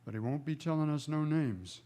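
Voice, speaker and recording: An actor playing a steady, plain-spoken frontier marshal, laconic and matter-of-fact, in a Western radio drama. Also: male voice, fairly high pitch, fairly quick, lightly acted. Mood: sober, resolute.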